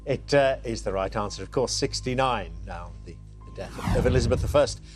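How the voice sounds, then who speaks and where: A man's voice: mid-range voice, fairly quick, over music. A man hosting a TV quiz show, chatty and teasing as he reads the questions. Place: TV studio.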